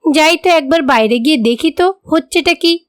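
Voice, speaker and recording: A woman's voice: high-pitched, moderate pace, close to an everyday voice. A woman voicing a stingy, bossy, middle-aged mother-in-law in a moral-story cartoon, with a Bengali accent and a scolding, domineering manner. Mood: irritated.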